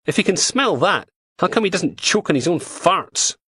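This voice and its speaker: A man's voice: high voice, expressive, normal speed, pushed and bright, husky, no room sound, clean studio sound, voice pushed up, heavily acted. A man voicing a blunt, foul-mouthed female police dog handler who talks crudely and scornfully. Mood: blunt, scoffing.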